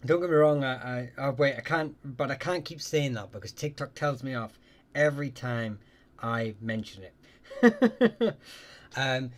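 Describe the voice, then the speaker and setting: A man hosting a live question-and-answer stream, talking casually and haltingly with many ums and restarts as he answers viewers. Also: mid-range voice, expressive.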